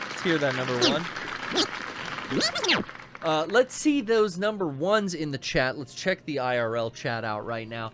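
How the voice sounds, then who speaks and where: A man's voice: on the high side, lively intonation, measured pace, long pauses, crowd noise. A man in his thirties co-hosting a casual livestream from a small home room full of records, joking and bantering loosely. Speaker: co-host.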